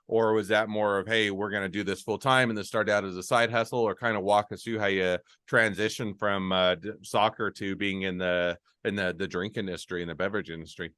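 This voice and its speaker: A man's voice: lowish voice, fairly even intonation, a little fast, few pauses, fairly bright tone, smooth, dry recording, clean studio sound. A man hosting a podcast interview, asking long rambling questions and often restarting them. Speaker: podcast host. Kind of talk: casual interview.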